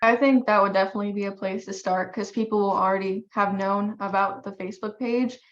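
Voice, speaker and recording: A woman's voice: medium pitch, steady tone, brisk. A young woman speaking politely and earnestly in an informal teleconference discussion. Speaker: volunteer committee member. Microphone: teleconference call. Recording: dull, lo-fi conference call audio.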